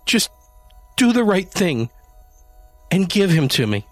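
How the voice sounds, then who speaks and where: A man's voice: a little high, huge pitch swings, slow pace, no room sound, soft background music, voice pushed up, exaggerated voice. A man voicing a grieving young woman who shrieks and pleads in a raised, strained voice. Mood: desperate shrieking.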